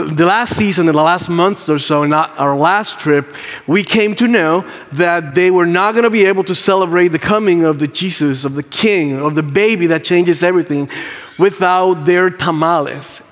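Puerto rican accented pastor dude, upbeat and chatty, bit higher voice, big echoey room. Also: male voice. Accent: Puerto Rican Spanish. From Puerto Rico. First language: Spanish. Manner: warm, enthusiastic, with frequent ums and playful asides. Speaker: campus pastor. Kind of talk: church announcement. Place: church sanctuary. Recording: long hall reverb.

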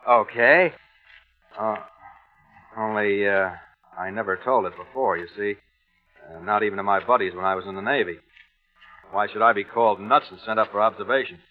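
A man playing an ex-Navy radio operator telling a yarn in a radio drama, slangy and halting, with an American accent. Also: lowish voice, natural voice. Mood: confiding. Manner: colloquial, halting. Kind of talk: old-time radio drama acting. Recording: muffled vintage radio broadcast, music behind.

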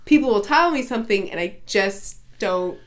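A woman's voice: medium pitch, expressive, normal speed. A woman who is a guest on a podcast, chatting casually with a slightly whiny voice and a joking manner. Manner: chatty, joking, laughs often. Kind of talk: casual conversation.

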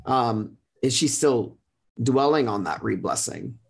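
A man's voice: medium pitch, animated, slow, gravelly, breathy edge. A man sharing a personal experience as a guest, casual but earnest and emphatic. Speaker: guest sharing his relationship story.

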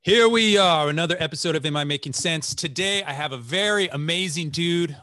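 A man's voice: a little high, brisk, bright, forceful tone. A man in his forties hosting a podcast, chatting enthusiastically with a guest over a video call. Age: 40s. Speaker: podcast host. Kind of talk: casual interview chat.

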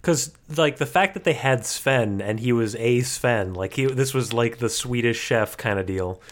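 A man's voice: medium pitch, moderate pace. A man co-hosting a podcast, chatting casually and jokingly about cartoons. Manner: joking, riffing with mock voices. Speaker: podcast co-host.

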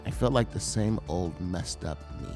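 A man giving an earnest, confessional sermon testimony, often halting and repeating words as he speaks. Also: sits low, expressive, music in the background.

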